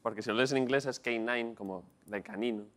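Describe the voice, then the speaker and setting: Fast-talking teasing male talk show host. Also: medium pitch, fairly bright tone, a little hiss or hum. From Spain. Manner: teasing, quick, laughs often. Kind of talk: comedic late-night interview banter. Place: TV studio with live audience. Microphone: lapel mic. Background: audience laughter and murmurs.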